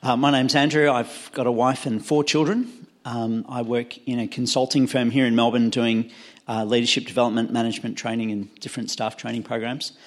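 A man's voice: medium pitch, level tone, a little fast. A man introducing himself on a church panel in a straightforward, matter-of-fact way. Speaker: panel speaker.

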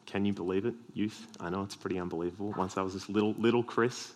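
Man, Australian accent, deep voice, low pitch, earnest sermon, self-deprecating humour. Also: steady tone, fast talker. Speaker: preacher.

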